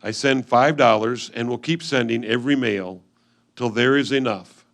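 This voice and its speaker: A man reading aloud, in reader's theatre style, the part of an earnest 1850s frontier husband writing letters home in a measured, formal manner. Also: mid-range voice, fairly bright tone, close to an everyday voice. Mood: earnest, businesslike.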